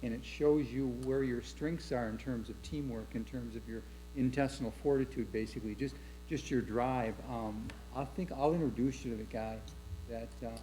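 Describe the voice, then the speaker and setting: A man's voice: medium pitch, fairly even intonation, a little fast, mellow, a little hiss or hum, poor audio. A man speaking at a school board meeting, chatty and rambling with many "you know"s. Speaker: board member.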